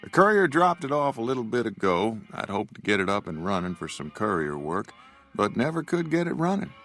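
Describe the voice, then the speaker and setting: A man's voice: on the low side, very animated, a little fast, a bit bright, faint music behind, exaggerated voice. A man voicing a weary old frontier shopkeeper who talks in a drawl. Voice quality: gravelly drawl. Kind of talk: video game dialogue.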